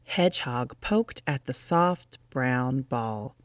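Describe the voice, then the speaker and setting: Low, unhurried woman reading a storybook. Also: animated, no room sound, clean studio sound. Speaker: storyteller reading aloud to children. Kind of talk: expressive children's storybook narration.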